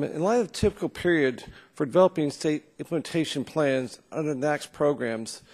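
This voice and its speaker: A man's voice: on the high side, creaky, a little mellow. A man from Texas, a congressman questioning witnesses at a formal hearing in a folksy, pointed way with short punchy questions. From Texas, United States. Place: legislative hearing room. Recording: long reverb of a hearing room.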